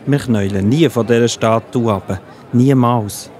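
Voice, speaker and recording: A man's voice: medium pitch, unhurried, a little mellow, lightly acted. An actor playing a bold, unshakeable, devout young man in a children's audio play, emphatic. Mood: defiant.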